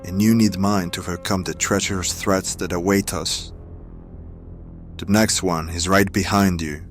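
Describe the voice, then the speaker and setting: Audio drama: male actor as cool, scheming turncoat with formal phrasing, low and slow, music underneath. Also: steady tone, close to an everyday voice. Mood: insistent. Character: sly, self-serving male ally turned against former masters.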